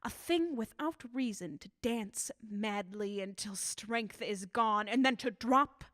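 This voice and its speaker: A woman acting in a radio drama as a bitter old scientist who invents deadly substances, with deliberate, formal, theatrical delivery. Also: mid-range voice, a bit bright, no room sound, studio quality. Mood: grimly proud.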